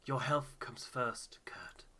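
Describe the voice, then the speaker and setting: A man voicing a careful, concerned ship's doctor in a game, measured and formal. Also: medium pitch, fairly even intonation, bright, forceful tone. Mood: grave, concerned. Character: earnest, discreet male expedition doctor, concerned and careful.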